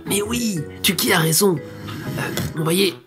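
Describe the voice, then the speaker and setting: A man's voice: high-pitched, slow pace, over music. A man playing a keen time-travelling companion who explains things in an audio comedy serial. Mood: explaining.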